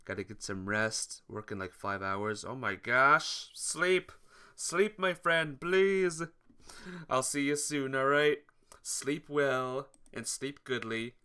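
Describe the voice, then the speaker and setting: A man playing and reading a visual novel aloud in an expressive way while chatting to viewers. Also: medium pitch, slow pace, smooth. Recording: music behind the voice. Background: game music.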